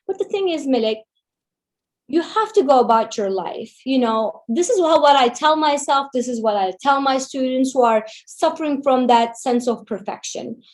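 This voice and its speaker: A woman's voice: high voice, animated, normal speed. Encouraging woman teacher on a video call. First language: Turkish. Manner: encouraging, conversational, with a non-native English accent. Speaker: Turkish language teacher, webinar speaker. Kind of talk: webinar talk, answering a question.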